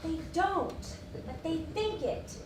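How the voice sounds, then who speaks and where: An earnest, easily upset society woman played by a stage actress speaks in a high, unhurried voice with emphatic, halting delivery. The sound is roomy, picked up from a distance in a theatre. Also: lively intonation, a little hiss or hum, full character voice. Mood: probing, insistent. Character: Diana, agitated earnest society woman, indignant when accused. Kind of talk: stage acting in a one-act play.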